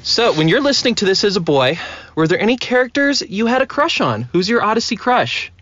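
A man's voice: high voice, sing-song, fairly quick, fairly bright tone, no echo, dull audio. A man hosting a casual podcast chat, asking questions in a teasing, joking way. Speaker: podcast host.

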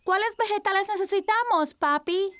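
A woman's voice: extremely high pitch, moderate pace, a bit bright. A woman voicing an eager, curious four-year-old boy in a children's cartoon dub.

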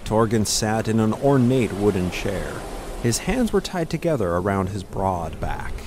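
Lowish-voiced man narrating fantasy. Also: big pitch swings, unhurried, noisy background. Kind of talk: scripted fantasy story narration.

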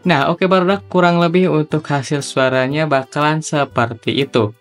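A man's voice: fairly high pitch, moderate pace. A young man giving a chatty, slangy, step-by-step tutorial voice-over. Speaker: tutorial host. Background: background music.

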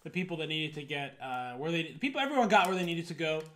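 A man in his thirties with a fairly high voice gives his opinions as co-host of a movie review. The talk is casual and unscripted, like a conversation with a fellow host. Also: fast talker, fairly bright tone. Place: indoor room, seated before a projection screen.

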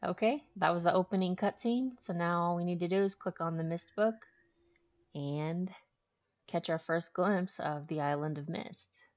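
A woman's voice: lowish voice, moderate pace, a little mellow, muffled recording. A woman hosting a video game walkthrough, explaining things in a casual, informal way.

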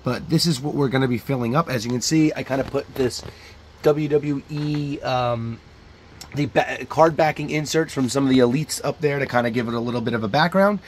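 A man in his thirties hosting a casual toy collecting vlog in a relaxed, chatty way with plenty of fillers, filmed at home on a camera held at arm's length. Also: medium pitch, fairly quick, a little hiss or hum. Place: home toy room, in front of green screen.

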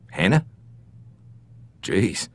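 A man's voice: low-pitched, big pitch swings, very slow, fairly bright tone, exaggerated voice. An actor voicing a cocky, slangy male mercenary in a game. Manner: slangy, joking. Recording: game audio, noisy.

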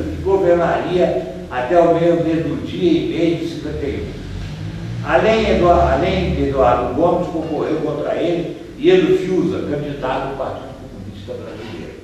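An older Brazilian man giving a seated, conversational history lecture, pausing at times to search for names. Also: a little high, lively intonation, darkish tone, distant mic, a little hiss or hum. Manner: conversational, gestures as he talks, trails off searching for names. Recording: echoey room, voice from off-mic.

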